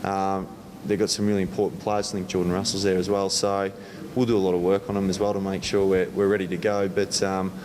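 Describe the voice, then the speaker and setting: A man's voice: flat delivery, a little fast, darkish tone. An Australian man, a football coach, giving conversational interview answers. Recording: reverberant room with faint rumbling noise.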